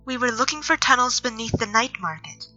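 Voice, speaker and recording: Actress as guarded elf nature mage, audio drama; earnest, measured wording, fairly high and piercingly bright voice over music. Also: female voice, expressive. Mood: doubtful. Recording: slightly dull audio, music underneath.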